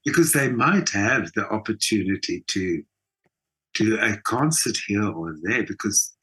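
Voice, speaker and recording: Husky, bright-voiced South African man. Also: on the low side, lively intonation, a little slow. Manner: emphatic, repeats phrases for stress. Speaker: interview guest, theatre performer and mentor. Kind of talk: conversational interview.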